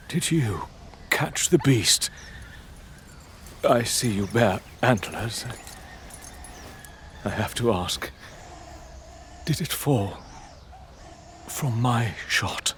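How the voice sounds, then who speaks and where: An actor playing a proud, tormented, weary lord in a gothic audio drama, speaking in halting, archaic, theatrical phrases. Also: male voice, medium pitch, animated, a bit bright, a little hiss or hum. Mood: pleading, eager.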